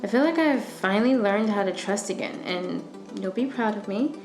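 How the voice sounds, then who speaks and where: Woman acting a lively, earnest young student. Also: mid-range voice, normal speed, close to an everyday voice. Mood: warm, sincere. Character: earnest, lonely young female student, warm and guarded. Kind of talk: radio thriller acting. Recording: echoey room, music underneath.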